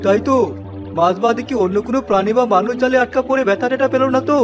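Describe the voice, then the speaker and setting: A man playing a concerned, wondering village fisherman in an animated story. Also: very high voice, racing, music in the background. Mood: worried. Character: village fisherman, longtime river man, anxious companion.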